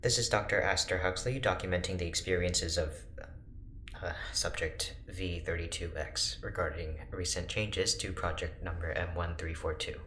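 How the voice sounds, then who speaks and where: A reserved, precise doctor speaks in a very low, bright-edged voice, formal and clinical. It is audio drama, recorded in a roomy, echoing space with faint noise. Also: male voice, moderate pace. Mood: measured, clinical. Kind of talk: naturalistic audio drama acting.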